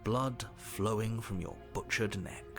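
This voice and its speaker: An actor playing a stern, exasperated, condescending narrator in a story game, speaking in a measured, pompous, dryly indignant way. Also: male voice, on the low side, fairly bright tone. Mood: solemn, ominous. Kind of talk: dramatic scripted game narration. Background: clear game music.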